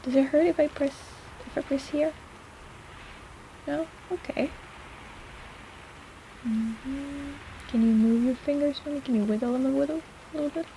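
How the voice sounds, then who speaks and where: A woman's voice: high voice, normal speed, creaky, long pauses, dark tone, slight background noise, poor audio. A woman playing a sarcastic but caring apothecary in an intimate personal-attention audio roleplay, with a playful, chiding manner. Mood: gentle, attentive.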